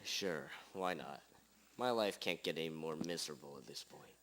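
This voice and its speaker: A man's voice: extremely low pitch, normal speed, fairly bright tone. A man playing a gloomy, washed-up detective with a short temper in an amateur noir radio drama. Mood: resigned.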